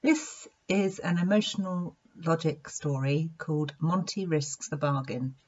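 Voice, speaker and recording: A woman reading a children's story aloud in an expressive, deliberate storytelling manner. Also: low voice. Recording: dull lo-fi sound.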